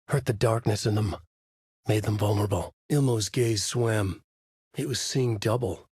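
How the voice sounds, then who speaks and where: An actor playing a brooding, haunted male writer, narrating his dark story in a measured, dramatic, intense way with a husky voice. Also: medium pitch, no room sound, clean studio sound, natural voice. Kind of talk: scripted first-person noir narration.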